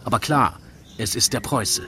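A man's voice: mid-range voice, normal speed, pushed and bright, slight background noise. A man narrating a comic rhymed story in a wry, theatrical storytelling manner. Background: music.

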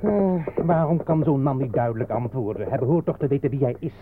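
An actor playing a flustered, formal gentleman with a placating manner in a radio play. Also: medium pitch, animated, fairly quick, crowd noise, close to an everyday voice. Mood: puzzled. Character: flustered, protesting gentleman and fiancé. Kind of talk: absurdist radio play acting. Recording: thin, phone-like old radio recording.

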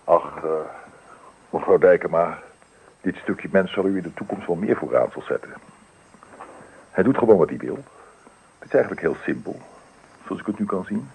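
A playful child specialist in a radio play. His lowish voice is gravelly and swoops about, easygoing and coaxing. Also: expressive, moderate pace, pauses often, darkish tone, slight background noise, low-quality recording. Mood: genial, reassuring. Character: genial, playful male child specialist on a home visit. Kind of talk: radio drama dialogue.

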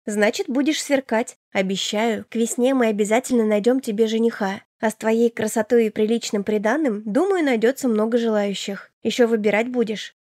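Woman playing caring big sister in her twenties, audiobook, highish voice, motherly but firm. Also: brisk, hardly any pauses, a little mellow, no echo, clean studio sound, natural voice. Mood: reassuring, encouraging. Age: 20s. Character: caring, firm elder sister, nearly twenty-five, protective. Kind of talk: audiobook character dialogue.

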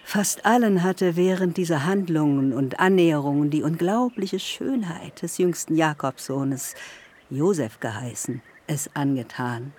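A woman's voice: deep voice, measured pace, a little mellow, breathy edge. A woman narrating literary prose for radio in a formal storytelling manner.

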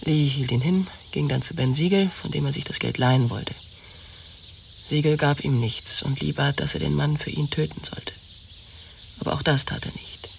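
A woman's voice: sits low, moderate pace, gravelly, recorded from a distance, slight background noise. An actress in a German radio drama playing a wounded young woman, pleading and confessing with insistent emotion. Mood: bitter, confessing.

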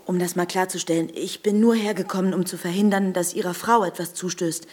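Actress as firm, sensible woman, husky voice. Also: lowish voice, steady tone, fairly quick, darkish tone, lightly acted. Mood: composed, formal. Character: sensible, alarmed ex-wife keeping her composure. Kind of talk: naturalistic radio drama acting.

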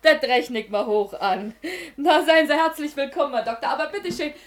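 Brash Berlin mother, high-voiced. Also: expressive, brisk. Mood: coaxing, effusive. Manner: earthy, scolding, switching to chummy coaxing. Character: brash, cunning working-class mother and washerwoman, bossy and wheedling. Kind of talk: naturalistic German radio play acting.

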